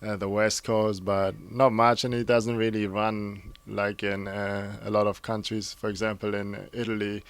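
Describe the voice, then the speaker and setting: A man, a graffiti artist, explaining things in a relaxed, matter-of-fact way. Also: lowish voice, normal speed, hardly any pauses, clean tone, no room sound. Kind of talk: casual interview answers.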